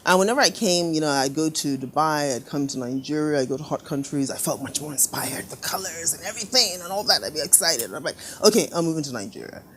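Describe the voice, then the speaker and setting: A middle-aged woman designer telling stories in a casual interview, lively and laughing often, doing little voices for her parents. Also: low voice, quick pace, hardly any pauses, slight background noise. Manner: animated storyteller, laughs often, acts out quoted family voices. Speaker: fashion designer guest. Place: living room studio with sofas.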